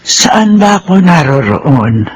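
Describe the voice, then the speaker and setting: An actress playing a frail, weary old mother in a radio drama, halting and plaintive, with a quavering voice. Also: low voice, very animated, a little hiss or hum, exaggerated voice. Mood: weak, dazed. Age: older. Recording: radio drama with music underneath.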